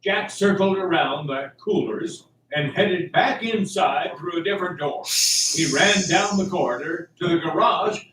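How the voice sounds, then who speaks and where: High-pitched man narrating an adventure drama. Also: unhurried, a bit bright, a little hiss or hum. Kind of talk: dramatic scripted storytelling. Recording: roomy, distant, music underneath.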